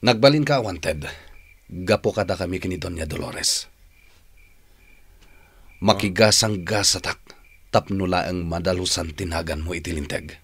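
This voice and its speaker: A deep, rough-edged older brother, earnest and emphatic. He sounds resolute and burdened by remorse in an Ilocano radio drama. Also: unhurried, pauses often, fairly bright tone, heavily acted.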